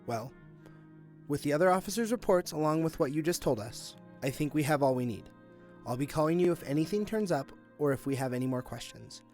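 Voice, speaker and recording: A man's voice: fairly high pitch, lively intonation, fairly quick, darkish tone, soft background music. A man playing a cynical, impatient police detective in an audio drama, with a sarcastic, emphatic way of talking. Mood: grave, courteous. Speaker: voice actor.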